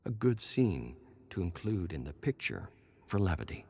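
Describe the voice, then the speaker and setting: A man plays a droll survivor and would-be filmmaker with a very low voice, reading his game journal drily. The delivery is measured, with faint ambient noise behind it. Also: sing-song, a little mellow. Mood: wry, reflective.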